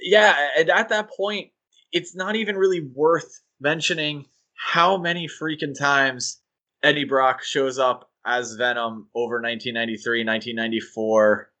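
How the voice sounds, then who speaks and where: A man co-hosting a podcast, talking in a casual, animated, rambling way with fillers and restarts. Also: medium pitch, moderate pace, rough recording. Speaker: podcast co-host.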